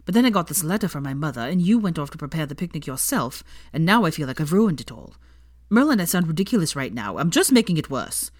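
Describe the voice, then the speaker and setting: Woman as young male romance character, anxious, stubborn, self-conscious, lowish voice, dry close recording. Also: sing-song, fast talker, a little mellow, no room sound. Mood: frustrated, flustered. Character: anxious, frustrated, sulky young man in love.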